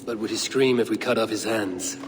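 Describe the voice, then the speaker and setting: An actor playing a stern, purposeful Viking raider in a video game, measured, terse and formal in speech. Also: male voice, lowish voice, big-room sound, a little hiss or hum, lightly acted. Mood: grim resolve. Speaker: playable lead character.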